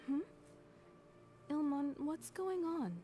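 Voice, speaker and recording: A woman voicing a tender, gracious wife and mother in a video game, gentle and polite. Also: high voice, takes it slowly, soft background music. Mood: puzzled, soothing.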